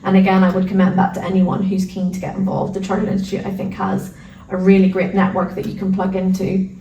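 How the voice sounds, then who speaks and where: Irish woman, middle-aged, guest lawyer; steady measured delivery, off-mic in a roomy space. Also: medium pitch. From Ireland. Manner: measured, articulate, occasional repeated words. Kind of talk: interview answers. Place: residing in London. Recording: roomy sound, distant mic, faint noise.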